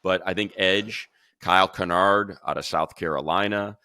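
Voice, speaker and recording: A man's voice: low voice, moderate pace, sharp tone, clean tone. A man giving casual, opinionated football draft commentary as a radio analyst, talking conversationally and thinking aloud. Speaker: sports radio announcer and analyst.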